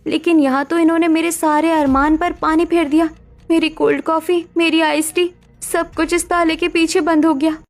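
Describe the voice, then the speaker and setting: A woman's voice: extremely high pitch, little pitch movement, moderate pace, voice pushed up. A woman voicing a pampered young daughter-in-law who complains and pleads in a raised, whiny voice. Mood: dismayed.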